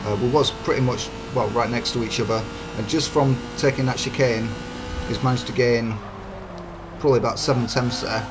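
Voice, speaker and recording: A man with a hoarse, croaky voice, giving easygoing, chatty commentary over his own racing game footage as a channel host. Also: mid-range voice, steady tone, normal speed. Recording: game engine noise behind. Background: racing car engine sounds.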